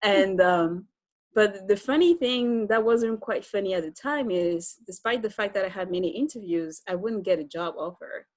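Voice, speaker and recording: A woman's voice: mid-range voice, moderate pace, dry recording. A woman from Cameroon with a Cameroonian accent, telling her own story conversationally as an interview guest.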